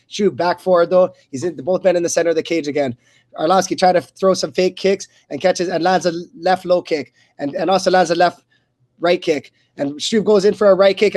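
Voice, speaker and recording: A man doing live radio play-by-play of a fight in short, halting phrases that often repeat. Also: high voice, darkish tone. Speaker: play-by-play commentator.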